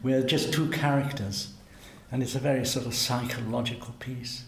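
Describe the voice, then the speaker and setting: A man's voice: mid-range voice, fairly even intonation, normal speed, vocal fry. Older man musing in an echoey room. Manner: musing, asks tag questions, chuckles at himself. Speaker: cellist and guest. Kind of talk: conversational interview. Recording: roomy event recording with faint hum.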